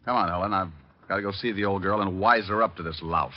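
An actor playing a suave, wisecracking private detective with a wry, hard-boiled delivery in a vintage radio drama. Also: male voice, deep voice, fast talker, background noise, close to an everyday voice. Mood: mocking. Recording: muffled vintage radio recording, music behind.

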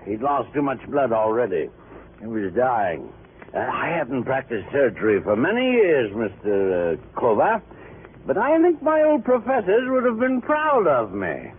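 A rattled, swooping older doctor. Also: male voice, mid-range voice, wildly swooping pitch, a little slow, narrow, tinny audio. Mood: uneasy, evasive. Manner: hesitant, stammering, formally polite. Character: rattled, evasive, bourbon-offering older male doctor. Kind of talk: 1950s radio crime drama acting. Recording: thin, noisy old radio recording.

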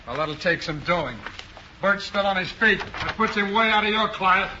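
An actor playing a bitter, defiant small-time crook in a 1950s radio drama, sullen and defensive. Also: male voice, high voice, moderate pace, close to an everyday voice. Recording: dull noisy 1950s radio recording.

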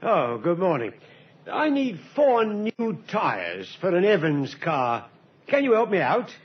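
A man's voice: high voice, huge pitch swings, slow pace, heavily acted. An actor, a man, playing an eager, deferential, plain-spoken police sergeant in a 1950s radio drama. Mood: chummy. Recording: muffled vintage radio recording.

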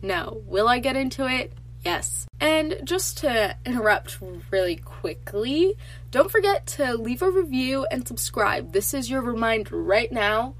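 A woman's voice: on the high side, measured pace, low-quality recording. Teenage girl, nasal, congested, casual chatty podcast talk, faint hum. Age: teens. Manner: chatty and animated, lots of filler "like". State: congested from allergies. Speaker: podcast host. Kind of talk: casual solo podcast chat.

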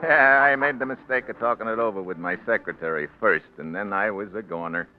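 A man's voice: fairly low pitch, fairly quick, lightly acted. An actor playing a cocky, slangy tough-guy politician in a 1940s radio drama, blunt and flippant. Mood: irritated. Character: cocky, wisecracking tough guy turned crooked politician, a man. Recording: noisy lo-fi vintage radio broadcast.

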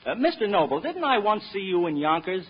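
A man's voice: high-pitched, sing-song, fairly quick, breathy edge, exaggerated voice. A man playing a pushy, wisecracking newspaper reporter in old-time radio comedy, speaking insistently. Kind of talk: 1930s-40s radio comedy acting. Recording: muffled vintage radio recording.

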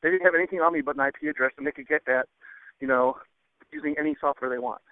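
Man, caller, rapid speech, slightly high voice, tinny phone line, casual warning rant. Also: very noisy. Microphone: telephone. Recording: dull, dry, narrow phone line.

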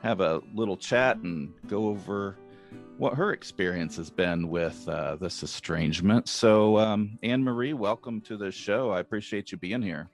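A middle-aged man hosting a podcast, speaking in a halting, casual way with many pauses. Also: lowish voice, faint music behind. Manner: halting, many fillers and restarts. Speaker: podcast host. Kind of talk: casual interview.

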